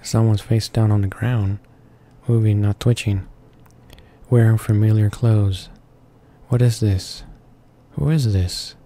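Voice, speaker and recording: A man's voice: fairly low pitch, slow pace, pauses often, warm tone. A man reading a visual novel's narration aloud, stumbling a little over the words. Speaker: video game let's player. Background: faint music.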